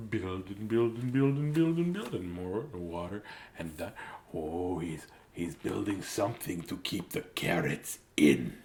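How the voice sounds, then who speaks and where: A man reading a children's bedtime story theatrically, slipping into whispers and repeating words for drama. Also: lowish voice.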